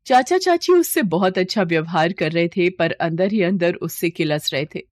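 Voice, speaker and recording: A woman's voice: fairly low pitch, lively intonation, normal speed, no echo, studio quality. A woman narrating a scripted moral story as a storyteller.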